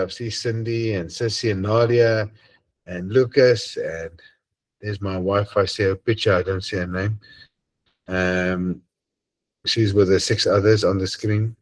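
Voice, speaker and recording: A man with an Afrikaans accent and a deep voice, hosting an online group and chatting earnestly and hesitantly over a video call. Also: fairly even intonation, a little slow. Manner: hesitant, many ums and uhs, earnest. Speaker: host of an online assembly. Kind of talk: casual closing chat on a video call.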